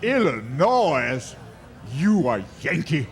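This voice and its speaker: An actor playing a mocking, menacing cowboy bully with a taunting drawl in a western radio drama. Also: male voice, fairly high pitch, very animated, slow, full character voice. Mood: quietly menacing. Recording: music behind the voice.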